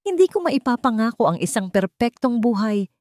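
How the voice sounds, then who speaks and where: A woman's voice: fairly high pitch, very animated, moderate pace, darkish tone, dry recording, voice pushed up. An earnest, devoted young Filipino man in love voiced by a woman speaks tenderly in a romantic audio drama. The delivery is emphatic and full of swooping emotion, recorded dry.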